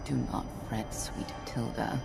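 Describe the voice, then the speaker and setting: A woman playing a devout witch confidante in a dark game, measured, assured and reassuring with quiet menace. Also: over music, natural voice. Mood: assured, soothing. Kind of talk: dramatic game voice acting.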